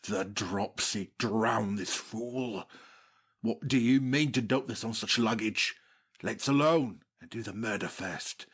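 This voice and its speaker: A brutish monster slave played by a man, fawning and murderous. The voice is husky and on the low side, with an urgent, conspiratorial delivery in Shakespearean audiobook style. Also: slow pace, a bit bright, full character voice. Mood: exasperated. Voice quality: husky, rough. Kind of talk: dramatic Shakespearean audiobook reading.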